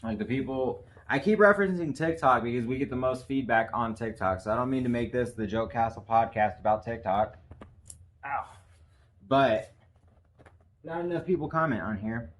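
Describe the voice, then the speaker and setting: A man co-hosting a casual podcast who talks in a profane, animated way and laughs often. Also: mid-range voice, normal speed. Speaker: podcast co-host. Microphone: camera facing the hosts.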